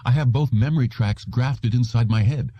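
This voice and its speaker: Audiobook role: troubled, defiant husband read by a man, husky, very soft-edged tone, dry sound. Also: medium pitch, moderate pace, no echo, dull audio, voice pushed up, close to an everyday voice. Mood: bewildered.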